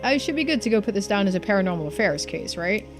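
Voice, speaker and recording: A woman's voice: mid-range voice, expressive, quick pace, music in the background, natural voice. A woman voicing a casual, sceptical police investigator in a video game, informal and a little hesitant. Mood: awkward. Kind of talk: video game dialogue read-through.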